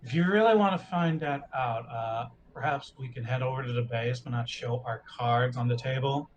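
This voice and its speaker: A man talks casually as a player at a tabletop roleplaying game. His voice sits at a medium pitch, with faint noise behind it. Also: moderate pace.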